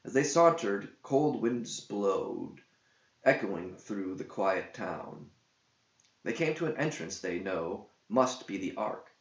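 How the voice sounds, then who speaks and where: A man with a fairly low voice narrates an epic in verse. He takes his time, declaiming theatrically. The recording is dull, with a clear echo of the room around him. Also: expressive, slow pace. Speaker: narrator, author reading own verse epic. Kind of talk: dramatic scripted verse narration. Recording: dull roomy echoing home recording.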